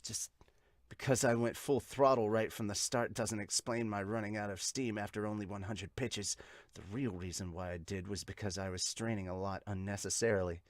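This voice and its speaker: A man reading the lines of an earnest young male baseball player from a comic, measured and matter-of-fact. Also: on the low side, fairly even intonation, no echo, studio quality, pitched below the performer's own voice, lightly acted. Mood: self-critical, resolved. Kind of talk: read-aloud of manga dialogue.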